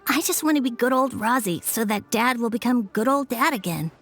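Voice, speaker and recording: A woman voicing a shy, earnest young boy who speaks softly and hesitantly in a game. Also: fairly high pitch, lively intonation, a little fast, faint music behind. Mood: wistful. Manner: soft, hesitant storytelling. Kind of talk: game voice acting.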